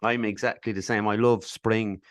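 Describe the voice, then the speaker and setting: Low-voiced podcast host, dry sound. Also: male voice, little pitch movement, moderate pace, no echo. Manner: friendly, rambling questions with many restarts. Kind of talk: casual interview chat.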